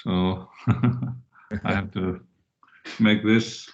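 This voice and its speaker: A man's voice: low voice, level tone, very slow. A man interviewing a guest informally over a video call. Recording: dull, lo-fi online call audio.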